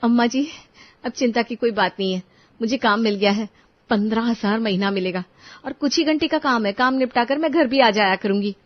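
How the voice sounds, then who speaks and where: Young daughter-in-law played by a female narrator, high voice, earnest, pleading yet firm, cartoon moral tale, slightly muffled audio. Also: brisk, natural voice. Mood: excited, relieved. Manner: pleading, reasoning, polite but firm.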